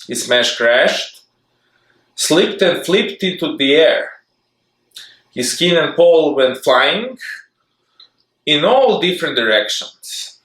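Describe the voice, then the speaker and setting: Expressive man reading a children's book. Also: on the high side, slow, pauses often. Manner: lively, expressive sing-song reading. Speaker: storybook reader. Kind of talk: children's story read-aloud. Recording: echoey room.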